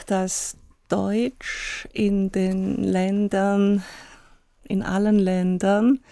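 A woman, chair of a teachers' association, giving measured interview answers in long flowing sentences. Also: mid-range voice, gravelly, dark tone, no echo, studio quality.

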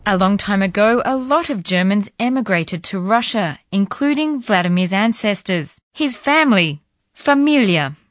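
A woman narrates a language lesson in a measured, explanatory tone. Her voice sits in a mid range and is recorded dry and close, with no room sound. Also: medium pitch, lively intonation, no room sound. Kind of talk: scripted narration.

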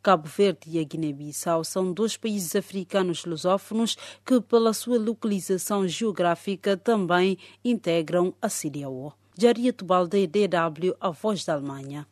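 A woman's voice: lowish voice, normal speed, hardly any pauses, darkish tone, no echo, studio quality. A woman reading a scripted report as a radio reporter. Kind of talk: scripted narration.

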